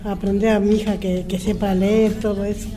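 A woman's voice: mid-range voice, flat delivery, fairly quick, warm tone. An Argentine woman, an adult student, chatting in short, simple, repeated phrases. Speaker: adult literacy student, interview guest. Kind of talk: casual chat. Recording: reverberant room.

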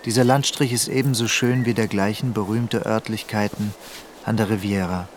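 A man's voice: fairly low pitch, fairly even intonation, moderate pace, faint music behind, natural voice. A male actor playing a nonchalant, worldly journalist in a radio drama.

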